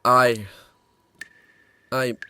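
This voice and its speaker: A man's voice: mid-range voice, flat delivery, very slow, pushed and bright, slight background noise, heavily acted. A man playing a frightened, naive young man in a horror audio drama, hesitant and anxious. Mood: apologetic. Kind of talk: horror audio drama acting with first-person narration.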